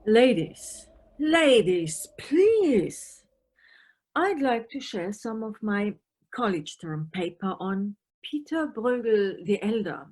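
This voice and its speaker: A woman's voice: mid-range voice, big pitch swings, slow pace, darkish tone. A woman playing an eccentric, playful, officious European policewoman in an audio play, theatrical and teasing, with German phrases thrown in. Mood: musing, storytelling.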